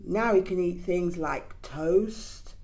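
A middle-aged woman reading a picture book aloud to young children, expressive and gently teacherly, putting questions to them. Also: on the low side, slow. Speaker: children's story time reader. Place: indoors in front of a decorated backdrop.

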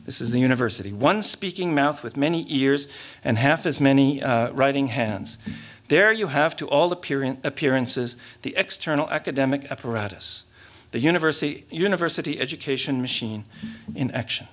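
A man's voice: medium pitch, animated. A middle-aged man delivering a keynote lecture from a lectern, reading from notes with restarts and muttered asides. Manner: measured, reads aloud with frequent restarts and asides. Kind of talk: academic lecture read from notes. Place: large lecture hall stage with curtains. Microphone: lectern microphone.

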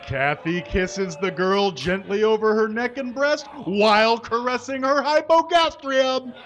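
A man's voice: high-pitched, unhurried, a bit bright. A man giving a live comedic dramatic reading into a stage microphone, mock-earnest and exaggerated, spelling out mistakes and punctuation as he goes. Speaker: live comedy show performer reading fan fiction. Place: small indoor stage with curtains, live audience. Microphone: microphone on a stand.

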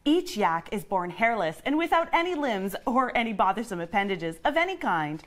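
A woman playing a perky 1950s housewife and mother, delivering cheery sales patter like an old radio advert. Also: a little high.

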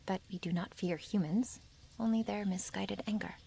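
A woman voicing a wary, possessive young female werewolf in an intimate ASMR roleplay, breathy and half-whispered, with halting, formal phrasing. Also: medium pitch, steady tone, dark tone. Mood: uneasy, guarded. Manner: halting, stiffly formal phrasing without contractions.

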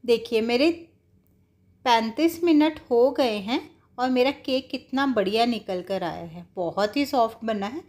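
A woman's voice: a little high, unhurried, darkish tone. A woman giving casual, step-by-step cooking instructions, explaining things directly to viewers. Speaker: home cook giving a recipe tutorial.

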